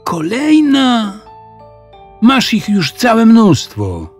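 Man putting on a high-pitched, chiding little schoolgirl. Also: huge pitch swings, breathy edge, faint music behind, exaggerated voice. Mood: annoyed. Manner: emphatic, drawn-out questions. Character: young schoolgirl, sensible, easily annoyed, chiding.

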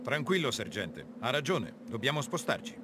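Man as calm visionary leader, medium pitch. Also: normal speed, background noise, lightly acted. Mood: calm resolve. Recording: loud music behind the voice.

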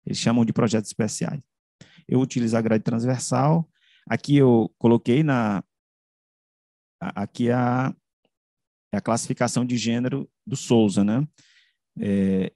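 A man with a Brazilian accent presents his research from slides in a mid-range voice. He speaks haltingly, restarting and correcting himself and checking in with short tags. The sound is dry, on a video call. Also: mid-range voice, dark tone, no echo. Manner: halting, with frequent self-corrections and tag questions. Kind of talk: academic slide presentation, semi-improvised. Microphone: computer mic on a video call.

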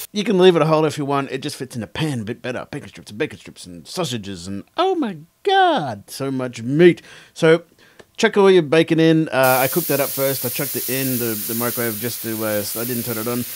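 A man's voice: medium pitch, sing-song, a little fast. An Australian man in his thirties chatting casually to camera about cooking, rambling and laughing as he goes. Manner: laid-back, rambling, laughs often. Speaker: cooking vlogger. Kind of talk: casual chat. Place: indoor room with green screen.

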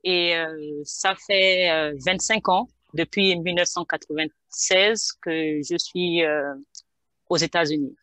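A woman's voice: fairly low pitch, fairly even intonation, takes it slowly, a bit bright, no echo. A woman in her thirties from Gabon, a pharmacist answering interview questions on a headset from a home office, hesitant with many fillers. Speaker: guest pharmacist. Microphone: headset on video call.

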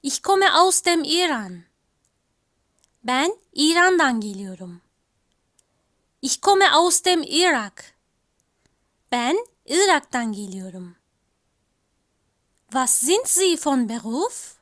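A woman teaching a language lesson, reading each phrase slowly twice and then giving its translation. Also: high voice, very animated, fairly bright tone. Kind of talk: slow, repeated language drill.

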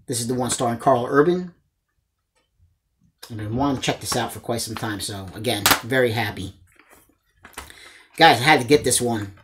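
An enthusiastic man in his forties hosting a collecting vlog, chatting casually to his viewers and often addressing them as guys. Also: mid-range voice. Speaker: host of a movie collecting channel. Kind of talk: casual chat showing a haul to camera. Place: small indoor room lined with disc shelves.

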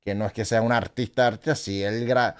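A man, hosting a reaction video in casual, animated talk from a small indoor room on a studio mic, exclaiming, laughing and tagging his phrases with quick questions. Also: fairly low pitch, clean tone. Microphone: studio mic, wearing headphones in gaming chair.

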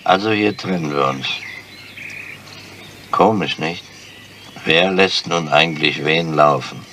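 An actor playing a dogged, weary police inspector in a crime radio play, speaking in a gruff, terse manner. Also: male voice, a bass voice, slow, sharp tone, exaggerated voice. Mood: wary. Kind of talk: crime radio drama acting. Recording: noisy old radio play.

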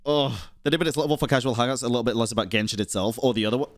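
A man's voice: medium pitch, very fast, no echo, clean studio sound. A man in his thirties giving casual live commentary as a game streamer. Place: indoor room, streaming setup. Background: game music.

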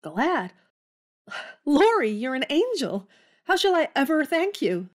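A woman's voice: sits high, big pitch swings, slow pace, heavily acted. A woman playing distraught young sister Jo. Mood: overjoyed. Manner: anguished, tearful. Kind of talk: audio drama acting.

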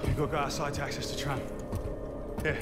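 A streetwise convict played by a male actor in a sci-fi horror game, with a fairly high voice. He talks in frank, clipped slang with dry teasing and urgency, over very loud background sound. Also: flat delivery, fast, close to an everyday voice. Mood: tense. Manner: informal, slangy, clipped phrasing. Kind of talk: cinematic video game acting. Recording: loud music or machinery noise behind.

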